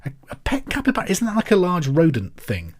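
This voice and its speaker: A man's voice: sits high, wildly swooping pitch, fast talker. Upbeat English male podcast quizmaster. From England. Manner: upbeat, friendly, conversational. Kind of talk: chatty podcast presenting, reading out listener messages.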